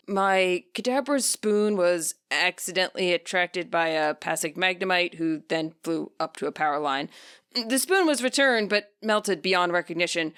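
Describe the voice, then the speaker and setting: A woman's voice: on the low side, unhurried, fairly bright tone, clear, resonant tone. Woman acting a hesitant, saddened Pokémon trainer. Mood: sheepish, sad.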